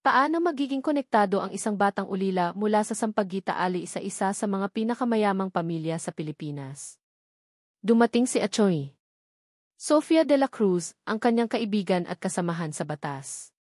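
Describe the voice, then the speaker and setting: Lady reading out a dramatic story, mid pitch, really dry close sound no room. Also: expressive, normal speed, no room sound. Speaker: story narrator. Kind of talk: scripted dramatic story narration.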